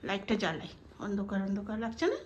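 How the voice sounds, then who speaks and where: A woman's voice: medium pitch, fast talker, a little hiss or hum. A middle-aged woman with an Indian Bengali accent talks casually and informally, as in a homely cooking vlog, dropping in English and Hindi words. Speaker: home cooking vlogger. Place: indoors at home.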